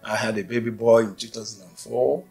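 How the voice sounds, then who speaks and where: A man in his forties from Nigeria, a native Yoruba speaker, telling his life story in an interview with unhurried, thoughtful pauses. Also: lowish voice, steady tone, gravelly, a little mellow. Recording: faint music behind.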